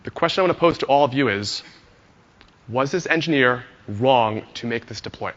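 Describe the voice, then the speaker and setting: A hoarse man giving a friendly conference talk to an audience, telling a story with many "uh" fillers. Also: mid-range voice, expressive, moderate pace, a bit bright, big-room sound, highs cut off. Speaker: conference speaker, engineer.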